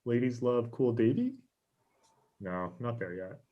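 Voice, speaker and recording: A slow-talking young man on dull video-call audio played by a man at a table read. He is earnest and geeky, musing to himself. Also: mid-range voice, animated. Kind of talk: acted pilot table read.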